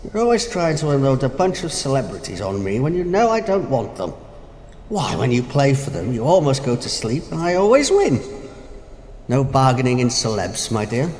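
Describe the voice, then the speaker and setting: A man's voice: mid-range voice, very animated, normal speed, a little mellow, natural voice. Man playing a swooping, mocking, ageing Death. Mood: sly, mocking. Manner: pompous, teasing, mocking. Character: Death personified, an ageing, wry, teasing male gamester. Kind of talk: theatrical audio drama acting. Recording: noisy, echoey hall with long reverb.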